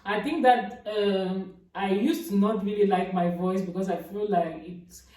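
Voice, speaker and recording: A woman's voice: measured pace, warm tone, a little hiss or hum. A young woman chatting casually about herself for her vlog, with a big voice and lots of fillers and restarts. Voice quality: big, deep-sounding voice. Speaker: YouTuber. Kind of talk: casual get-to-know-me vlog. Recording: echoey room, voice from a distance.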